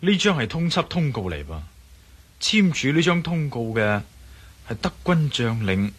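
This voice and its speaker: Confident adventurer hero, husky yet bright forceful voice, breezy, Hong Kong Cantonese, radio drama. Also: male voice, medium pitch, very animated, measured pace, exaggerated voice. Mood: assured.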